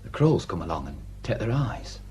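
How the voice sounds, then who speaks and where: A man's voice: deep voice, very animated, moderate pace, darkish tone, a little hiss or hum. A man acting a deferential, plain-spoken village sergeant with a Northern English accent in a radio drama. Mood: grim, hushed.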